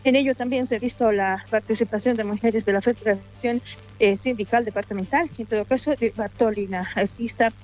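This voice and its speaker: High-voiced Bolivian woman reporting live. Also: fairly even intonation, brisk, darkish tone, dull audio. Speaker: field news reporter. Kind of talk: improvised live street report.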